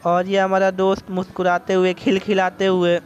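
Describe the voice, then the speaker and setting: A young man from India with a high, light voice narrates his restaurant visit, eager and chatty as he keeps turning to his viewers. He is recorded on a handheld phone on a night-time terrace, with faint music playing behind him. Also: monotone, moderate pace, highs cut off. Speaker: vlogger. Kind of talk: casual vlog narration.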